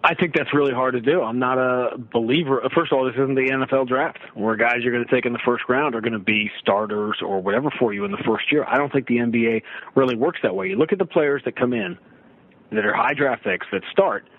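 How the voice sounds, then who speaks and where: A man's voice: mid-range voice, a little fast, no echo. A middle-aged man giving emphatic, conversational basketball analysis as a sports radio guest, often building his points with rhetorical questions. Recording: slightly muffled, limited-band radio-like audio.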